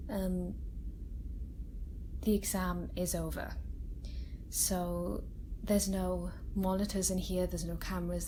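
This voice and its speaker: A woman playing a kind, protective research scientist in a soft-spoken ASMR roleplay, gentle, hesitant and confiding. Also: medium pitch, natural voice, poor audio. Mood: hushed, confiding. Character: kind, caring young female research scientist, earnest and protective. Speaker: voice actor in an audio roleplay. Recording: steady sci-fi ambience noise behind voice. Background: sci-fi laboratory ambience.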